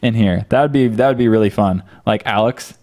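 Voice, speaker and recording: A man's voice: fairly low pitch, brisk, dark tone. Man hosting a casual livestream. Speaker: live stream host. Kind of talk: casual livestream chat answering viewers. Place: home office room, indoors. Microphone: close desk microphone on boom arm.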